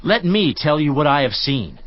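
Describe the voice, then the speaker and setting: A man's voice: a little high, very animated, moderate pace, no room sound. Man doing a stern lecturing friend, voice swings up and down a lot, dry dull sound. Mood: reproachful. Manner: reproachful, lecturing, rhetorical questioning. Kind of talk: dramatized scripture reading. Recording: dry, slightly dull studio audio.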